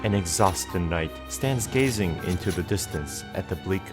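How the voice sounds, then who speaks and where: A man's voice: sits low, expressive, normal speed. A man performing as the solemn narrator of an audio drama, reading scripted historical fantasy narration. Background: loud music.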